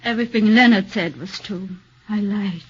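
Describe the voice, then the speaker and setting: A woman's voice: medium pitch, moderate pace, slightly rough, close to an everyday voice. An actress playing a scheming, vengeful woman in 1940s radio drama, brazen, emphatic and melodramatic. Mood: broken, confessing. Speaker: radio actor. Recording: dull 1940s radio recording.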